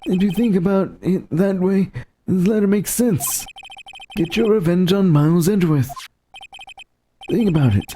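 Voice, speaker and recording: Game role: tormented male lawyer, mellow, deliberate, halting and dramatic. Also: high voice, a little hiss or hum. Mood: haunted, grave. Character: haunted, anguished male lawyer reliving a childhood trauma. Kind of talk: dramatized video game dialogue.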